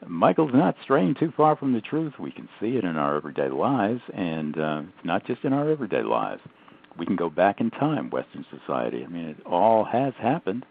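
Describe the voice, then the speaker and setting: A man hosting a radio show, with a low, gravelly voice that rises and falls in wide swings. He talks emphatically, leaning on words for effect. The audio sounds thin and phone-like. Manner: emphatic, animated, frequent fillers. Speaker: radio talk show host. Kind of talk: radio interview with lively promotional delivery.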